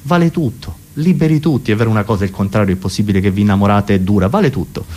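A man's voice: on the low side, rapid-fire, mellow. A man delivering a comic philosophical monologue in a rambling, self-correcting, mock-academic manner. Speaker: comedian and monologuist.

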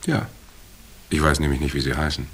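Very deep-voiced, sardonic hard-boiled man. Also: fairly bright tone, husky, full character voice. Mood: self-assured. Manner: sardonic, familiar, unhurried. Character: cool hard-boiled hotel owner, son of a city boss. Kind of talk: noir radio drama acting.

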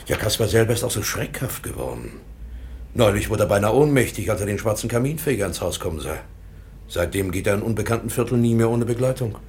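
An actor playing a grave, courteous gentleman in a radio play, talking in a measured, polite way. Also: on the low side, animated, slight background noise. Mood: troubled. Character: grave, courteous older gentleman, the boy's guardian.

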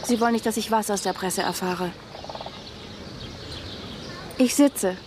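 A woman's voice: medium pitch, unhurried, natural voice. An actress playing a mother out with her young son, speaking conversationally in a radio drama scene. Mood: uneasy, conversational. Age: 30s. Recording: noisy outdoor zoo ambience with birds.

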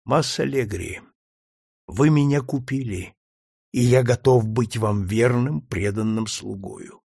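A man voicing a calm, faithful male slave who speaks quietly and solemnly despite a beating. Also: medium pitch, moderate pace, gravelly, breathy edge, no echo, clean studio sound. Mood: calm resolve.

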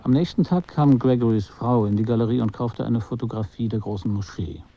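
An actor playing a detached, observant photographer who tells his story in a radio play, measured and matter-of-fact. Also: medium pitch, dark tone, highs cut off, faint music behind, lightly acted. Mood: detached, reflective. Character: detached, observant male art photographer recounting his encounters. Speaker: narrator and main character of a radio play. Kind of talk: literary first-person radio drama narration.

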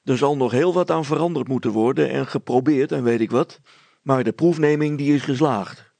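A man voicing a proud, earnest village blacksmith and father in a storybook, gruff and emphatic. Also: mid-range voice, normal speed, mellow, no echo. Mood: solemn, stubborn.

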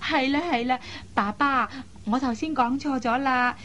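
A high, girlish voice pouts and teases in Cantonese: a headstrong young air hostess in a radio drama. She is playful and coquettish, over faint background noise. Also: steady tone, a little slow, a bit bright. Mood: breezy, defiant. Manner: pouty, teasing, coquettish.

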